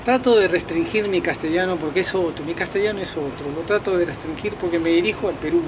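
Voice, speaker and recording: Fifties guy from peru ranting to camera outside at night, slangy and animated, city traffic humming behind. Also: high voice, quick pace. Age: 50s. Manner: animated, talks to viewers directly with slangy asides. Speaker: vlogger and self-declared political candidate. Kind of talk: casual rambling monologue to camera. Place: outdoors at night on a balcony over a lit city.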